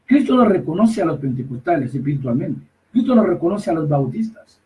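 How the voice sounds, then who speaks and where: A man with a fairly high voice preaches insistently, repeating himself and breaking off mid-phrase. He stands some distance from the microphone, so his voice sounds set back in the space. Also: sing-song, a little mellow. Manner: emphatic, repetitive, halting with restarts. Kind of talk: preaching commentary.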